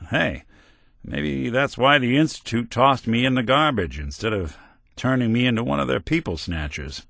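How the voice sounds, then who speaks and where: A man's voice: mid-range voice, lively intonation, normal speed, gravelly, fairly bright tone, dry recording, clean studio sound. An actor voicing a world-weary male synth detective in a video game, a robot carrying an old cop's memories, talking in a hard-boiled drawl. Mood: reflective. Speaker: companion character.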